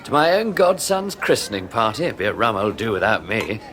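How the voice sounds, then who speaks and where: Lively, wry British colleague. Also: male voice, on the low side, fairly quick. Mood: jovial. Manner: wry, jovial, urbane. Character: wry, genial male colleague, friend and godfather. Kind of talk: 1980s radio drama acting.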